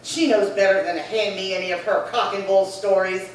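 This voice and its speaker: A woman's voice: mid-range voice, steady tone, brisk, close to an everyday voice. A woman playing an indignant, bossy sister in an old-time radio crime drama, scolding emphatically and repeating herself. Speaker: actor. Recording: very echoey room, distant mic, faint noise.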